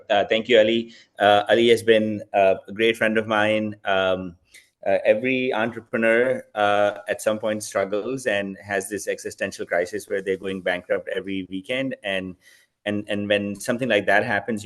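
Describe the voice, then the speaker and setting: Low-voiced man from Pakistan, relaxed. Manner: relaxed, many fillers, reflective. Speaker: guest, company founder. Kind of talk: fireside chat interview.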